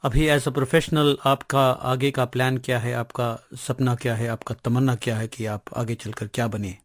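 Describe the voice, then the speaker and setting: An Indian man asks measured interview questions. His voice is medium in pitch and recorded dry, with little room sound. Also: level tone, no room sound.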